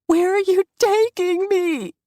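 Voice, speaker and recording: Desperate teenage girl, high and begging, voiced by a woman reader, dry close audiobook sound. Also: takes it slowly, dark tone, no room sound. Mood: frightened. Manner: pleading, tearful, high-pitched.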